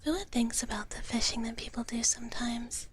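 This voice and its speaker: A woman whispering softly and breathily in a gentle ASMR livestream chat, close to the microphone. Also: on the high side, a little hiss or hum. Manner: slow, gentle, speaks of herself in third person as a dragon character. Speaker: live streamer hosting ASMR.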